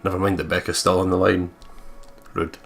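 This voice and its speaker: A man's voice: low-pitched, little pitch movement, a little fast, vocal fry, a bit bright, soft background music. A man reading visual novel narration aloud and breaking into jokey, profane commentary as a gaming co-host. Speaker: let's play co-host.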